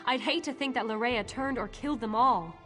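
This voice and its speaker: A woman playing a brisk, no-nonsense battlefield healer in a fantasy video game, speaking in a dry, matter-of-fact way. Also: on the high side, a bit bright, faint music behind, lightly acted. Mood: hopeful, sober. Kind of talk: scripted video game dialogue.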